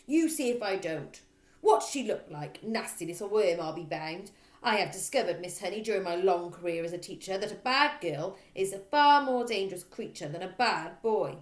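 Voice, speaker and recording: A woman voicing a bullying middle-aged headmistress in a storybook, who barks and shouts with open contempt. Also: mid-range voice, animated, moderate pace, echoey room, close to an everyday voice. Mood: scornful, loud.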